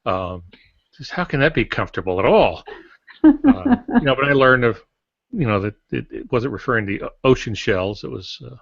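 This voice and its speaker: A man's voice: mid-range voice, very animated, normal speed, gravelly, no room sound. A man telling a casual anecdote in conversation over a video call. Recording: dull, dry lo-fi video-call audio.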